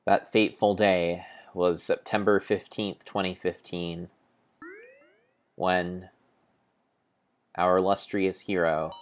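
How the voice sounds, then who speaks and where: A man improvising a mock-epic dramatic narration of a video game, grandiose and theatrical, with pauses and self-corrections. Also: low-pitched, little pitch movement.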